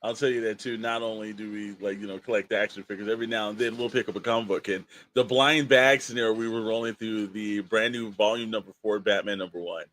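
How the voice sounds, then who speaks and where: A man's voice: fairly low pitch, steady tone, fast talker, hardly any pauses, fairly bright tone, a little hiss or hum. A man hosting a casual podcast, giving opinions in a rambling, emphatic, exasperated way with storytelling and quoted asides. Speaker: podcast host.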